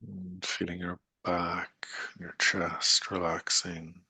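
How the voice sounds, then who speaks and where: A man leading a guided meditation in a soothing, unhurried manner with long pauses and gentle coaxing, his voice breathy and gravelly. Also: low voice, level tone, no room sound.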